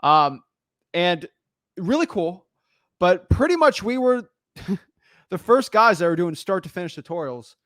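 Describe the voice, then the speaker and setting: High-voiced young man, energetic talk. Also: no echo, studio quality. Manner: energetic, fast-talking, animated storytelling. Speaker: podcast host. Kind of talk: casual chat.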